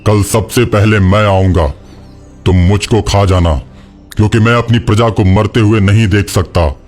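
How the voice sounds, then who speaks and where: A man's voice: on the low side, fairly even intonation, normal speed, a little mellow, close to an everyday voice. A man voicing a proud, dutiful cartoon lion king with a firm, solemn manner. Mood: resolute, self-sacrificing. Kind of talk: children's cartoon acting. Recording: music behind the voice.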